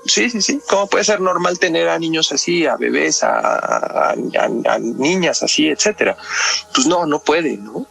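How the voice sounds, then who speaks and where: A man's voice: a little high, animated, moderate pace, creaky, a bit bright, slightly rough, soft background music. A man with a Mexican accent talking analytically in a podcast discussion, explaining things and often checking with tag questions.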